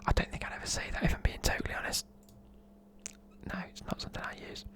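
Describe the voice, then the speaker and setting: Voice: fast, fairly bright tone. A person with a British accent whispering informal, chatty, matey explanations in an ASMR style. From United Kingdom. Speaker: presenter explaining slang.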